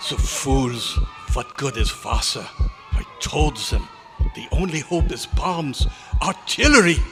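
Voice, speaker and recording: A man playing an agitated, despairing scientist in a radio horror drama, speaking emphatically and haltingly, repeating words for weight. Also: mid-range voice, expressive, fairly bright tone, faint music behind. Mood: bitter despair. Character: despairing, agitated male scientist warning of doom. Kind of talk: old-time radio horror drama acting. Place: hotel convention meeting room, live performance. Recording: live hall, long reverb.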